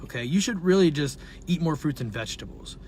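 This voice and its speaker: A man who is a dietitian and nutrition professor, talking casually and earnestly to his viewers while his voice is raspy from laryngitis. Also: a little high, expressive, a little fast, darkish tone, a little hiss or hum. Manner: earnest, conversational, with frequent fillers. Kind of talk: casual talk to camera.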